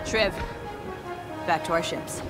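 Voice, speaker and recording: A woman's voice: takes it slowly, loud music behind the voice. An actress playing a resolute young woman commanding a rebel squad in a video game, with a firm, commanding delivery. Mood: brisk, assured. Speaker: military pilot commander. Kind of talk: cinematic video game acting. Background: loud dramatic score, vehicle rumble.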